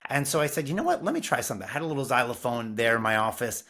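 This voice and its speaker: Bright-voiced New man, animated. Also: mid-range voice, very fast. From Brooklyn, New York. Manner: earnest, animated storytelling. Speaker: music curriculum founder, guest. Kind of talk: casual interview talk.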